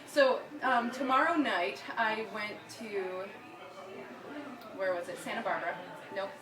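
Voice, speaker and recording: A woman's voice: mid-range voice, unhurried, reverberant, recorded from a distance, over music, rough recording. A young woman from Michigan telling a personal story in a chatty, giggly way, with many fillers and bursts of laughter.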